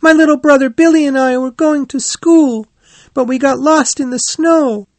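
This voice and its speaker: A man's voice: very high-pitched, normal speed, dry recording, voice pushed up. A man voicing a polite, earnest young hedgehog child in a storybook, eager and deferential.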